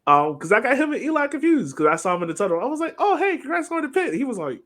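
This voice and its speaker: A man hosting a soccer podcast in casual chat, informal and rambling as he thinks out loud. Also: very high voice, very animated, fast.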